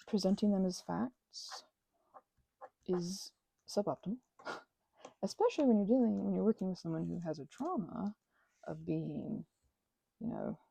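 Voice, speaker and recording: Casting: woman, mellow husky voice, very animated pitch, halting intimate crafting-vlog ramble, reflective and self-interrupting. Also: no echo. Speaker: craft vlogger and ASMR creator. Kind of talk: rambling, intimate casual chat while crafting.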